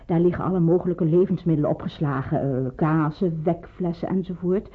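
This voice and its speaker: An actress playing a businesslike woman in hiding who explains things briskly, in an old radio play. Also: fairly low pitch, fairly even intonation, husky. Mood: brisk, instructive. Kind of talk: Dutch radio play acting. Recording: muffled, narrow-band old radio recording.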